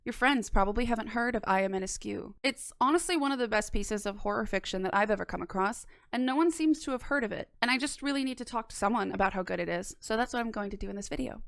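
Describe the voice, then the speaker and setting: Young woman doing a video essay, creaky but clear voice, casual wry asides, close dry mic. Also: medium pitch, no echo. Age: young adult. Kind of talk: semi-scripted review narration with casual asides. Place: small indoor room. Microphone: close microphone on a boom arm.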